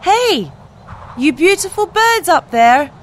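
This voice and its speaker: A woman's voice: sits high, big pitch swings, measured pace, slight background noise, pitched above the performer's own voice, heavily acted. A woman voicing a sad, lonely young male duckling who sobs as he talks. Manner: sobbing, forlorn. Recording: music playing behind.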